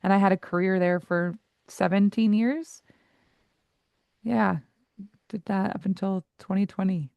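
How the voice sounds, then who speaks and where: A woman in her forties, a voice coach and guest in an interview, who talks candidly in quick asides and laughs easily. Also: mid-range voice, fairly even intonation, creaky, dry recording, highs cut off.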